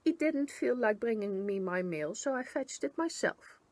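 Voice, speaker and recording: A woman's voice: a little high, animated, moderate pace, darkish tone, no echo, close to an everyday voice. A woman voicing a small, wild, impatient female storybook creature, indignant and haughty, with emphatic delivery.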